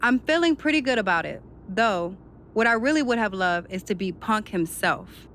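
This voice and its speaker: A mid-pitched, assertive wrestler speaks with self-assurance in a game cutscene. There is faint noise behind the voice. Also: female voice, lively intonation, normal speed, a bit bright. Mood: self-assured. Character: confident, assertive woman wrestler, champion-beater. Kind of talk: scripted game cutscene dialogue.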